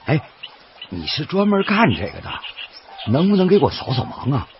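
A man's voice: fairly high pitch, huge pitch swings, moderate pace, slight background noise. A man acting as a plain-spoken, earnest working-class boatman in a radio drama, talking in a folksy, colloquial way. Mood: friendly, humble. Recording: dull band-limited radio drama with music.

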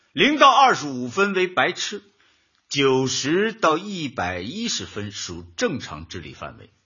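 An actor playing a pedantic, sarcastic older husband who preaches in drawn-out sayings in a radio comedy. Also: male voice, a little high, pushed and bright, slightly rough, exaggerated voice. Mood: lecturing. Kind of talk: radio drama acting, light comedy. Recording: dull audio with music bed.

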